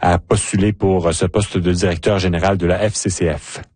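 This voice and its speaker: Man, radio host, husky voice, dry close sound. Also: low-pitched, steady tone, brisk, a little mellow, dry recording. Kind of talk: radio interview.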